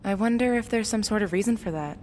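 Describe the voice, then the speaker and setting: A woman playing a practical, level-headed young explorer in an audio drama, matter-of-fact and measured, in a reverberant space. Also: medium pitch, darkish tone, natural voice. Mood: observant. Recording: long cave-like reverb, faint noise.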